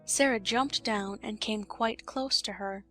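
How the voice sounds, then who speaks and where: A woman reading a children's story as an expressive audiobook narrator. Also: mid-range voice, measured pace, faint music behind.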